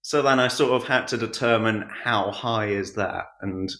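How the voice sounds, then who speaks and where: Guy with a lowish voice chatting on a podcast, kinda self-deprecating and jokey, roomy echo. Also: moderate pace, pushed and bright. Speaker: audiobook narrator being interviewed. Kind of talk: casual podcast chat. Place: untreated room at home. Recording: roomy home-recorded echo.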